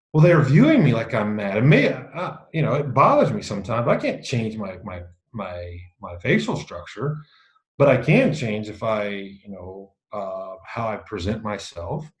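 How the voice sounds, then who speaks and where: A middle-aged man with a low voice presenting a leadership course to a group in a meeting room, casual and dryly self-mocking, often restarting his sentences. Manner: dry self-mocking humor, frequent restarts. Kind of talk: casual teaching talk to a small group. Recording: echoey room.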